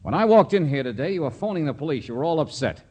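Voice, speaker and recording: A man playing a tough, sharp-tongued private detective in an old American radio drama, needling and insistent. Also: fairly high pitch, big pitch swings, quick pace, breathy edge. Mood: confrontational, sardonic. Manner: hard-boiled, needling, insistent. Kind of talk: 1940s-50s American radio drama acting. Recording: vintage radio drama recording.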